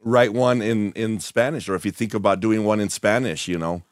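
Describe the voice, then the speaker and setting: A man hosting a live radio show and interviewing a guest, his voice hoarse and raspy, talking in a relaxed, rambling way with many fillers. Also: fairly low pitch, moderate pace, no echo, clean studio sound. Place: studio, streamed live on camera.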